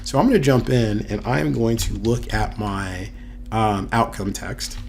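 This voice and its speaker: A man with a voice hoarse from a cold, teaching a casual screen-recorded data tutorial as a university instructor, thinking aloud with fillers. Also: lowish voice, a little slow, darkish tone, slight background noise.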